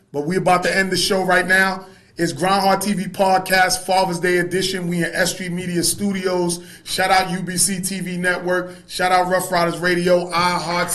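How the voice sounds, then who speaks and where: A podcast host talks in a loose, slangy way. His voice sits fairly high for a man. He keeps it relaxed and conversational. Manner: laid-back, slangy, shouting out supporters. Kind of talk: casual chat.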